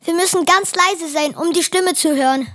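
A child acts out a bold, impatient kid in a children's radio play. The voice is very high and youthful, eager for adventure and urging the others on. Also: moderate pace, a bit bright. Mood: cautious. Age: child, about ten. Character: impatient, daring, clumsy young sibling eager for adventure.

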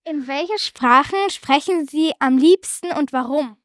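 A woman's voice: high-pitched, a little slow, no room sound, clean studio sound. A woman interviewing passers-by, asking brief and direct questions. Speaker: street interviewer.

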